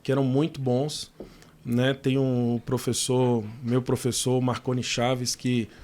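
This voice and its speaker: A man's voice: medium pitch, level tone, takes it slowly, a little mellow. A man, a physiotherapy professor chatting as an interview guest, telling his story at ease with fillers and restarts. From Salvador, Bahia, Brazil. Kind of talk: casual interview conversation.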